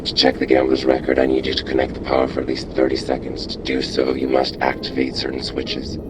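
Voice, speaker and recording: A man voicing a mysterious robot informant in a video game, secretive and measured as he gives instructions. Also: very deep voice, monotone, noisy background. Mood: cool, instructing. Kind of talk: video game character acting.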